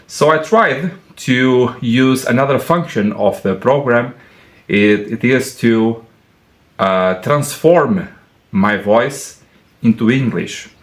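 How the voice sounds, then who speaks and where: A man with a Portuguese accent, a mechanic and channel host, talking earnestly in a halting way, with many fillers and restarts. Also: mid-range voice, roomy sound. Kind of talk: apologetic casual vlog talk. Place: car workshop.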